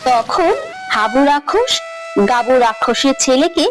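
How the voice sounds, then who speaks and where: A woman's voice: sits high, expressive, a little slow. A woman narrating a scripted children's story. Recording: music behind the voice.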